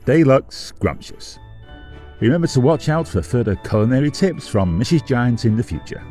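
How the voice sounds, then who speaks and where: A man's voice: mid-range voice, big pitch swings, moderate pace, mellow, faint music behind, close to an everyday voice. A man playing a gushing fairytale television presenter, delivering theatrical scripted comic links between programmes. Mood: gushing, teasing. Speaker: presenter linking the monologues.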